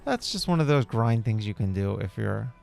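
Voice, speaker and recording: Easygoing man with a gravelly edge, gaming commentary. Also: lowish voice, animated, normal speed, a little mellow. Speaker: gaming video creator. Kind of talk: casual game roleplay commentary. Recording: game music behind the voice. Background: video game music and character dialogue.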